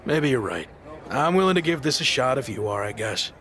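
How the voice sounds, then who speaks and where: A man's voice: medium pitch, very animated, brisk, fairly bright tone. A man playing a reluctant, easily annoyed young pro wrestler in video game cutscene dialogue. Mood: grudging.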